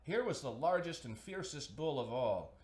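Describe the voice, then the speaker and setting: A man reading a children's story aloud as an expressive narrator. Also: mid-range voice, moderate pace. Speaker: storybook reader, host. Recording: echoey room.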